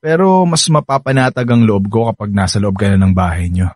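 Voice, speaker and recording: A man's voice: on the low side, a little fast, a little mellow, no room sound, natural voice. A man playing a gallant, bashful young suitor in a Filipino radio romance, with a Filipino accent and an earnest, teasing manner. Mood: caring, earnest. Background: background music.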